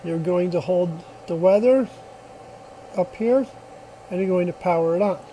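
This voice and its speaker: Guy showing off a gadget on his phone, kinda high mellow voice, plainspoken, bit of hum behind him. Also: measured pace, rough recording. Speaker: product demonstrator. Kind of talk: casual hands-on product demo. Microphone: phone recording.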